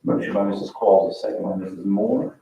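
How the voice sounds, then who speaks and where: A man chairing a formal council meeting, running the procedure in a brisk way. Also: on the low side. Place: public meeting room. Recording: roomy, distant, muffled mic with noise.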